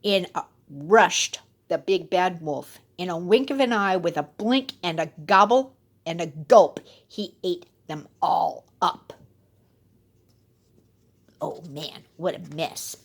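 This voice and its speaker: A woman's voice: lowish voice, lively intonation, slow pace. A woman telling a children's fairy tale expressively, with dramatic and playful emphasis. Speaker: storyteller.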